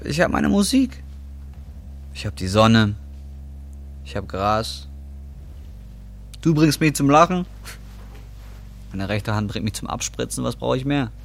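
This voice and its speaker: A man's voice: medium pitch, very animated, unhurried, vocal fry, long pauses. An actor playing a bitter, despairing injured roofer in his twenties in a radio drama, talking in crude slang. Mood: defiant, pleading. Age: 20s. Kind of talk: radio drama acting and first-person narration.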